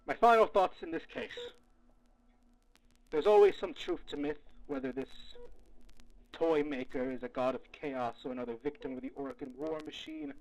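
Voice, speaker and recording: Male researcher character, acted, audio drama log, weary, foul-mouthed, lively swinging intonation, gravelly creak, halting theatrical delivery. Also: on the high side, poor audio. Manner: theatrical, halting, muttering to himself.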